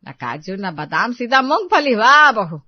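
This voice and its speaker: A woman playing a demanding, grumbling older mother-in-law in an animated family story. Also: fairly high pitch, moderate pace, no room sound, dull audio. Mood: sarcastic.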